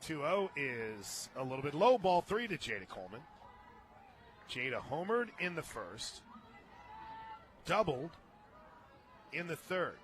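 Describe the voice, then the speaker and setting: American male radio play-by-play announcer, higher-pitched, very lively, wry and chatty between pitches, noisy outdoor ballpark behind. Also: a little slow, long pauses. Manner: conversational, wry asides between calls. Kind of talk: live softball radio commentary.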